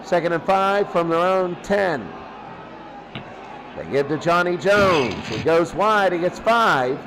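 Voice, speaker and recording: A man's voice: high-pitched, expressive, slow pace, low-quality recording. A man calling college football on the radio, giving plays and stat recaps. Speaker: play-by-play radio sports announcer. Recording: clear background noise.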